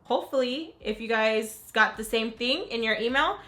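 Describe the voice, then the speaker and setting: A young woman who is sick and raspy, chatting excitedly in a casual beauty unboxing. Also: on the high side, moderate pace, soft background music. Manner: gushing, upbeat, says "freaking" a lot. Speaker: beauty vlogger. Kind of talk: casual unboxing chat to camera.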